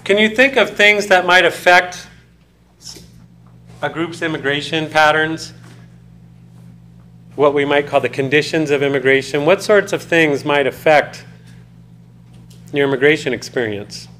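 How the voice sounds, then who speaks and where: Conversational male lecturer, slightly high voice. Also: a little slow, long pauses, bright, forceful tone, slight background noise. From United States. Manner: conversational, poses questions to students, loose with fillers. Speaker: college lecturer. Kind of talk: classroom lecture. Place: classroom.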